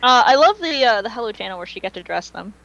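Woman podcast co-host, higher-pitched, animated and slangy, informal fan-chat delivery. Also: fast talker, creaky, dull audio. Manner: animated, slangy, swears casually.

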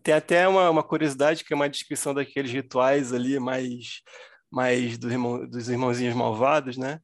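A man's voice: mid-range voice, fairly even intonation, brisk, no echo, clean studio sound. A man with a Brazilian accent, a guest translator, explaining with hesitant restarts in a casual podcast chat. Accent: Brazilian Portuguese.

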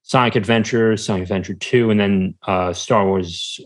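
A man chatting casually as an interview guest, halting and rambling, often repeating words as he goes. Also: on the low side, fairly even intonation. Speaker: podcast guest, small-business entrepreneur.

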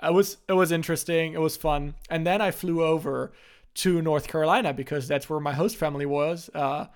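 A young man from Germany, casually telling stories as a podcast host, in a relaxed way with frequent restarts. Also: on the high side, fairly quick, smooth.

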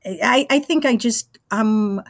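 A woman's voice: on the high side, takes it slowly, no echo, studio quality. An American woman in her sixties from Brooklyn, chatting casually and easygoing as she tells anecdotes as an interview guest. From Brooklyn, New York, USA. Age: 60s. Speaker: interview guest, former college radio engineer. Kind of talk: casual reminiscing.

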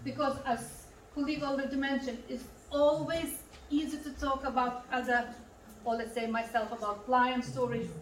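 Woman, Lithuanian accent, personal motivational speech, high voice, picked up from afar. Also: fairly even intonation, moderate pace. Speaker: conference speaker. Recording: echoey hall, distant mic, faint noise.

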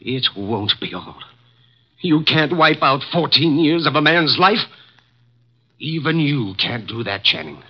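A desperate long-time employee in a 1950s radio play. His fairly high voice pleads and flares, its pitch jumping about. The recording is dull and lo-fi. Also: lively intonation, unhurried, a little hiss or hum. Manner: pleading, rising to anger. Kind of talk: 1950s radio drama acting.

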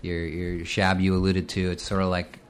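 A man's voice: deep voice, completely flat delivery, moderate pace. A man hosting a podcast, asking questions in a casual, hesitant way with many fillers. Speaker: podcast host. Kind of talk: podcast interview.

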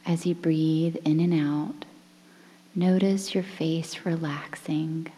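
A woman guiding a relaxation meditation in a soothing, breathy, near-whispered voice with measured phrases and pauses. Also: sits low, steady tone, mellow. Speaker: guided meditation instructor. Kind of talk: guided relaxation meditation, softly spoken. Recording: long reverberant hall-like echo.

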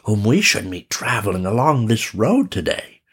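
A man's voice: fairly low pitch, sing-song, normal speed, a bit bright, husky, exaggerated voice. Man playing old male magician, storybook elder, trembling, solemn, eager, archaic formal wording. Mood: solemn, reverent. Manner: formal, archaic, reverent speech.

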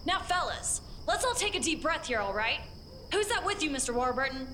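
A man's voice: extremely high pitch, very animated, a little fast, pushed and bright, a little hiss or hum, voice pushed up, exaggerated voice. A man voicing a wary, tough woman stagecoach driver who talks blunt and folksy.

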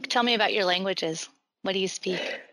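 A woman with an ordinary mid-range voice conducts an easygoing interview. She asks open, inviting questions and keeps the guest talking with short, warm replies. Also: medium pitch, fairly quick, no echo, poor audio. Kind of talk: casual interview.

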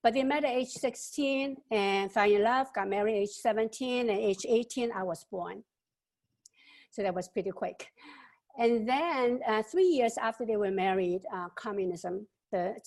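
An older woman in her seventies with a gravelly, fry-tinged voice. She answers an interview question at length, and her reflective telling stops and starts with fillers and repeated words. Her pitch sits in the middle range. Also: moderate pace, darkish tone. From China. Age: 70s.

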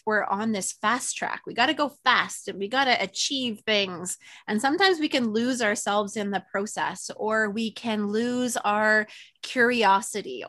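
A woman from Canada hosts a relaxed interview in an earnest, reflective way. Her voice sits in a middle pitch, and the sound is dry with no room echo. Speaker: podcast host. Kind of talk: conversational interview.

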